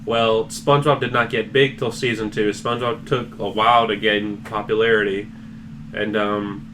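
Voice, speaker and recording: A man's voice: lowish voice, level tone, normal speed, fairly bright tone, slight background noise. A man hosting a casual podcast, rambling and joking among friends with plenty of swearing. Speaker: podcast host.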